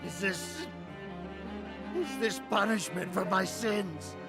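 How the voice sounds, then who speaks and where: Enio, an aged, brooding troupe leader. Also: male voice, high-pitched, big pitch swings, gravelly, full character voice. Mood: mournful. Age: older. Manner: slow, brooding and solemn. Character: aged, grieving male troupe leader, guilt-driven. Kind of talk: game cutscene voice acting. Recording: music score behind the voice.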